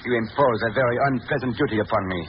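An actor playing a proud, sinister doctor in an old radio thriller, with formal, courtly phrasing and cold menace. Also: male voice, medium pitch, rapid-fire, over a noisy crowd, natural voice. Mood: cold, menacing. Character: coldly menacing, proud male mad doctor, courtly criminal. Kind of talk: 1930s-40s radio thriller acting. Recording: muffled, noisy old radio recording.

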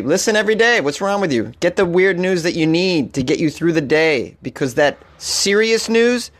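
A man with a Boston accent hosts a comedic news podcast in a loose, rambling monologue. He jokes irreverently and laughs often. His voice sits a bit high for a man. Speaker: podcast host.

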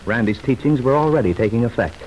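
A man's voice: mid-range voice, lively intonation, fast talker, darkish tone. A man narrating an old-time radio serial as its announcer, reading scripted story commentary. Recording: faintly noisy vintage radio recording.

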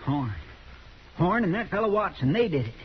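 A man's voice: sits high, big pitch swings, normal speed, slight background noise. An actor playing an earnest, folksy Texan sidekick to a lawman in a 1950s radio Western, with a rural American drawl. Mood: alarmed. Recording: muffled old radio recording.

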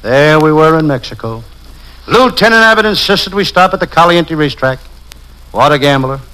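Parody gumshoe, higher-set voice, dry comic narration, old radio comedy with heavy crackle. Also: male voice, normal speed. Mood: deadpan. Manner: deadpan gag-telling narration. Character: wisecracking hard-boiled parody private detective, adult man. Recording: noisy, crackling vintage radio recording.

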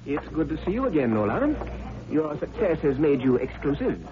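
A man's voice: on the high side, sing-song, moderate pace, crowd noise. A man acting as a cynical, needling newspaper rewrite man in an old radio drama, wry and insinuating. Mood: jovial, teasing. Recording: muffled vintage radio recording.

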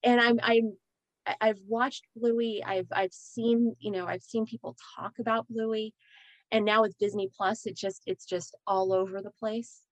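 A woman's voice: a little high, fairly even intonation, unhurried, dry recording, poor audio. Woman host rambling thru her questions, lots of restarts, dry close mic. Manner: rambling, hesitant, many restarts. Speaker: interviewer, host. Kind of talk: casual interview chat.

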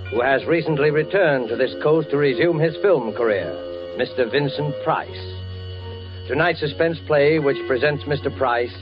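Formal 1940s radio announcer, man. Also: medium pitch. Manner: formal, measured, ominous. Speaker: show announcer. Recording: muffled old radio broadcast with music.

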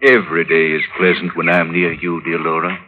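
An actor playing a meek, flattering married lawyer with courtly, deferential manners in an old-time radio drama. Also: male voice, deep voice, fairly quick, slight background noise, lightly acted. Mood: flattering, evasive. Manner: courtly, deferential, clipped replies. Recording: muffled, phone-like vintage radio recording.

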